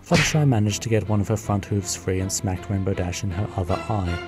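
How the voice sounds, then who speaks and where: A man giving steady, scripted narration for a fan radio play. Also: on the low side, brisk, over music.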